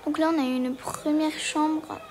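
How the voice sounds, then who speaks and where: A woman's voice: high-pitched, fairly even intonation, measured pace, a little mellow, slight background noise. A woman giving casual, hesitant commentary as she walks through a game, with lots of fillers. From Portugal. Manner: hesitant, many fillers, chatty. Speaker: gaming video creator.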